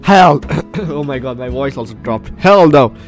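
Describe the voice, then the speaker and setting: A man's voice: mid-range voice, lively intonation, a little slow. A man hosting a gaming video while getting over the flu, reading and reacting aloud in a dramatic way with repeated phrases. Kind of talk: let's play commentary, reading game text aloud. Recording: game music behind the voice.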